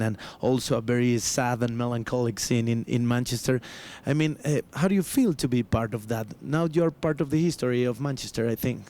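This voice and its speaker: A man with a Mexican accent, a native Spanish speaker, hosting a casual music interview in halting English phrasing. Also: medium pitch, darkish tone. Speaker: show host, interviewer.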